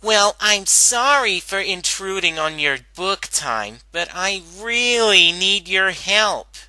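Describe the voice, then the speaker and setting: A man voicing a brash but anxious young female cartoon pony, halting and pleading. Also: high-pitched, lively intonation, pushed and bright, dry recording, pitched above the performer's own voice, full character voice. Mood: defensive.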